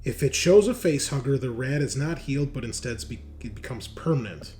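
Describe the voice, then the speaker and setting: A man's voice: medium pitch, animated, a little fast, dark tone, a little hiss or hum. A man acting as game master, talking casually through rules and narrating scenes in an informal, teasing way. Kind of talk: running a tabletop roleplaying game, casual rules talk and narration.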